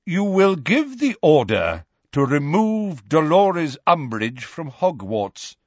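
A man voicing a calm, commanding old wizard in an audiobook, speaking in a measured, magisterial way. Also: medium pitch, dry recording, dull audio.

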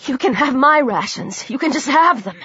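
A woman's voice: high-pitched, expressive, moderate pace, sharp tone, no room sound, lo-fi sound. A woman voicing a frightened but defiant young girl in an audiobook, stammering and pleading breathlessly.

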